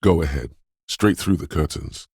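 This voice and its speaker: A man voicing a brooding, superstitious inner voice of intuition in a video game, speaking in a hushed, portentous, theatrical way. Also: expressive, normal speed, no room sound, clean studio sound, heavily acted. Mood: mystical, foreboding. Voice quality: very deep, gravelly, husky. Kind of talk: dramatic video game narration.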